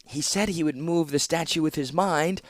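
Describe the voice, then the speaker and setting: A man's voice: fairly high pitch, normal speed, no echo, studio quality, pitched above the performer's own voice, natural voice. A man voicing a nervous, trembling male court seneschal who stammers.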